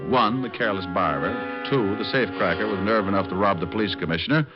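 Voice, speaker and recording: A man's voice: fairly low pitch, fast, close to an everyday voice. A man playing a cocky, tough private detective in a 1940s radio drama, with a wry, clipped delivery. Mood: wry, narrating. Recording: muffled old-time radio recording, music behind.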